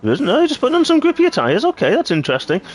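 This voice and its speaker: A man giving live play-by-play commentary on a sim racing league, hoarse and clearing his throat after losing his voice. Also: very high voice, rapid-fire, slight background noise. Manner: excitable play-by-play, rising sharply at incidents. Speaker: race commentator.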